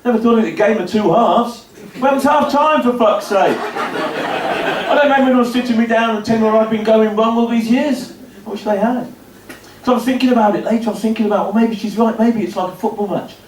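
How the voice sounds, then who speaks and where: A man's voice: high-pitched, fairly quick. Self-deprecating comic in his fifties. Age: 50s. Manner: dry, self-deprecating storytelling with punchy asides. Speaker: stand-up comedian. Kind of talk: stand-up comedy set. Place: comedy club stage before a laughing audience. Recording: roomy live venue recording from a distance.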